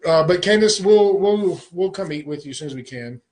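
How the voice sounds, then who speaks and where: A man, hosting a casual late-night live show and talking crudely and excitedly. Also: sits high, a little fast. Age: 30s. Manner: crude, excitable, reads listener confessions aloud. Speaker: podcast host.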